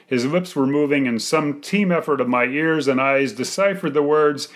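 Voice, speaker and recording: Measured man reading a literary story. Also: a little high. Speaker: writer reading own short story.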